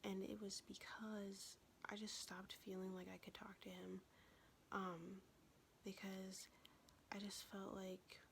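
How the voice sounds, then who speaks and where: A young woman telling a personal story in a soft, confessional whisper, vlog style. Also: medium pitch, completely flat delivery, slow, vocal fry, a little hiss or hum.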